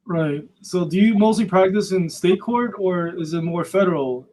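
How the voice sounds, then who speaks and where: A man's voice: high-pitched, normal speed, warm tone, low-quality recording. A man hosting an interview podcast, asking questions in a conversational way. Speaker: podcast host, interviewer, attorney.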